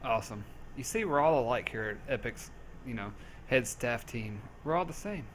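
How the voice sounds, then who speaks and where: A man's voice: mid-range voice, lively intonation, moderate pace, slight background noise. A man with a Southern US accent, reading out and answering community questions in a casual, joking, easygoing way. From southern United States. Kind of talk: casual group Q&A.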